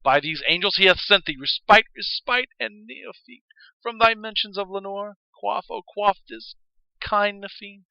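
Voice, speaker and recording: A man's voice: high-pitched, measured pace, creaky, clear, resonant tone, no echo, lo-fi sound, pitched above the performer's own voice, full character voice. A man playing a grieving, haunted gothic mourner with intense, declamatory delivery, as in a theatrical poetry reading. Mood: anguished fury. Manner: intense, declamatory, swinging from hushed to crying out.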